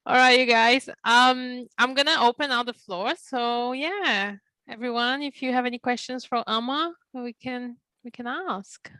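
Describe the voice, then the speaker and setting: A woman's voice: fairly high pitch, measured pace, pushed and bright, no echo. A woman hosting an online event in a casual, effusive way over a video call. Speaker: event host.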